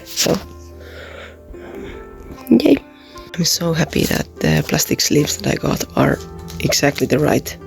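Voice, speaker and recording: Young man, slightly high voice, gravelly fry, hesitant casual vlog talk. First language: Finnish. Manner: hesitant, many ums and uhs. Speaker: convention artist vlogger.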